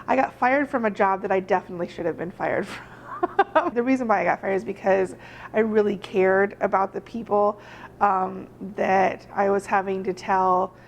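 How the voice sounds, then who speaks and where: Chatty woman with a gravelly edge. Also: medium pitch, steady tone, moderate pace. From Germany. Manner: chatty, laughing, many fillers and restarts. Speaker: cookie shop owner. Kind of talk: interview answers. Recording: dull audio with faint noise.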